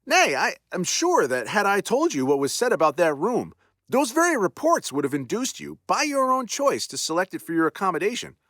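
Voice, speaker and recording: A man's voice: sits high, wildly swooping pitch, a little fast, fairly bright tone, dry recording, studio quality, voice pushed up, exaggerated voice. A man voicing an aristocratic lord, earnest and apologetic, with formal old-fashioned speech.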